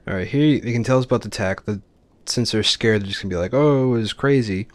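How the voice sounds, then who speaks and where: A man's voice: fairly low pitch, fast talker, no echo. A man giving casual, explanatory let's play commentary on a video game. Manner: explanatory, informal.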